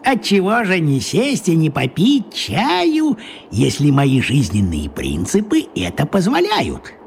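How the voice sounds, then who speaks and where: An actor playing a small, crafty old wizard in a children's radio play, talking in a coaxing, folksy way with a husky voice. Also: male voice, on the high side, wildly swooping pitch, slow, slight background noise, exaggerated voice.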